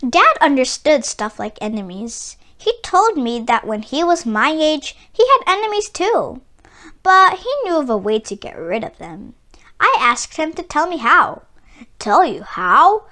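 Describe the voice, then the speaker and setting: Woman, high voice, very animated pitch, expressive children's storybook read-aloud, emphatic delivery. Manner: theatrical, emphatic, drawn-out key words. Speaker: children's book reader.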